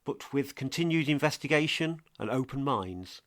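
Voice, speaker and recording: A man presenting a scripted true crime mystery podcast as an earnest investigator, with a measured, portentous delivery. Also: medium pitch, animated, a bit bright, dry recording, studio quality, lightly acted. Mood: grave, intriguing.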